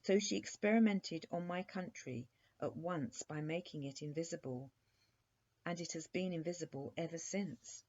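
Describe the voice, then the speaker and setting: A courteous young prince from a bedtime storybook, acted out by a woman reader. He is wistful, polite and plaintive, in a dark, husky tone. The sound is a touch lo-fi. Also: low voice, moderate pace. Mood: explanatory. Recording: dull, muffled lo-fi recording.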